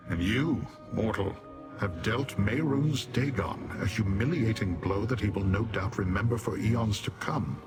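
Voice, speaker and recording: A man voicing a proud, grave Daedric warrior in a fantasy game, speaking in a formal, declamatory way. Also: fairly low pitch. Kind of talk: scripted fantasy game acting.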